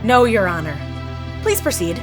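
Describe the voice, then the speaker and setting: A woman's voice: medium pitch, wildly swooping pitch, normal speed, over music. Woman voicing a prim rabbit prosecutor. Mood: formal. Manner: crisp, formal.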